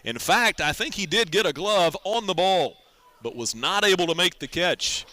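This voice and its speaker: A man calls a baseball game for radio in a very bright, forward voice. His pitch sits a bit high, and he describes the play in a conversational way with easy asides. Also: lively intonation, moderate pace, no room sound. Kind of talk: live baseball radio commentary.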